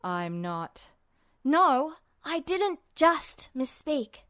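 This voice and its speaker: A woman's voice: high voice, sing-song, drawn-out words, fairly bright tone, no room sound, clean studio sound, exaggerated voice. Woman as a hot-tempered, defensive young man. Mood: agitated. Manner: agitated, emphatic, blurting. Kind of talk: audiobook character voice.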